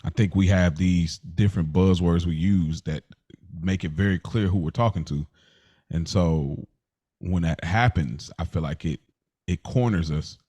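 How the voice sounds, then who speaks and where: Male co-host, very low voice, earnest conversational delivery, dry close recording. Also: a little slow, dark tone, husky, no echo. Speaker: podcast co-host. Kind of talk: casual podcast conversation.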